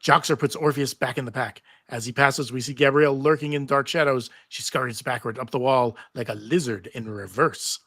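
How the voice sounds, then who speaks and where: Bright-voiced man narrating a script. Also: mid-range voice, steady tone, brisk, hardly any pauses. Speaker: narrator reading stage directions. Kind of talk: scripted narration at a live table read.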